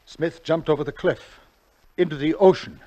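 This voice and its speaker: A man's voice: on the high side, steady tone, moderate pace, warm tone, close to an everyday voice. Man acting an urgent, concerned investigator. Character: concerned male investigator questioning a patient. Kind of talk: 1940s radio acting. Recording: vintage 1940s radio broadcast.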